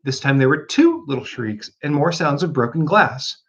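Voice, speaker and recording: A man reading a children's storybook aloud as an expressive audiobook narrator. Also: fairly high pitch, moderate pace, slightly rough, lo-fi sound. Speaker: audiobook reader.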